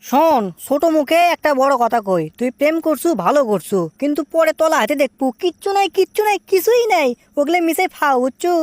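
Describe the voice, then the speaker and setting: A man acts out a brash young cartoon man with a high voice and a Bogura accent. He rattles along very fast, teasing and boasting, in a dry, close sound. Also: animated, no room sound. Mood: brash advice. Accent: Bogura, Bangladesh. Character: cheeky, boastful young man scolding his lovesick friend. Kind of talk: comic cartoon dialogue.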